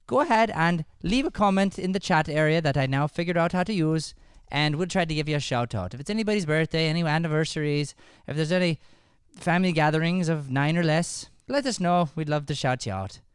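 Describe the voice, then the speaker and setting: Man, smooth higher-pitched voice, chatty affectionate show host, dry close sound. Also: lively intonation, a little fast, no room sound. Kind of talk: live radio-style show banter.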